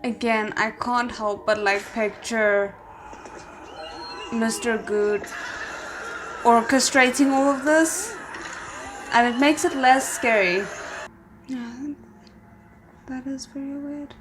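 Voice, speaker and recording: A woman's voice: fairly high pitch, slow, pauses often, noisy background. A woman hosting a reaction video, giving casual commentary and thinking aloud.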